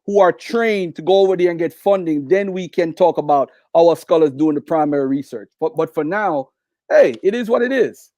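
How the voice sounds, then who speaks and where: A man's voice: a little high, moderate pace, darkish tone, no echo. Insistent Jamaican man, dry close sound. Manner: insistent, repeats requests. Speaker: debate guest. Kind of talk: heated live debate.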